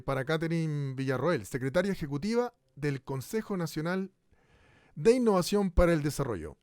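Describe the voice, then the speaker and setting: A man's voice: fairly high pitch, darkish tone, no echo, studio quality, close to an everyday voice. An actor playing a male radio host of a future broadcast in a radio drama, with an announcer's cadence broken by hesitant fillers.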